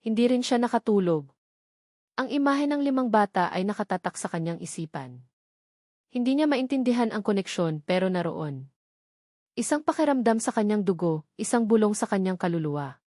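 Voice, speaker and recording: A woman's voice: medium pitch, animated, normal speed, warm tone, dry recording. Woman narrating a story, dry close sound. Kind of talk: scripted dramatic story narration.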